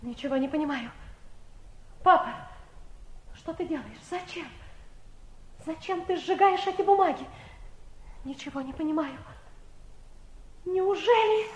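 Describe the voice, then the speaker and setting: A woman's voice: extremely high pitch, expressive, a little slow, long pauses. An actress playing a bewildered, anguished young daughter in a 1960s Soviet radio drama, girlish and emotional. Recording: echoey, slightly noisy 1960s radio tape.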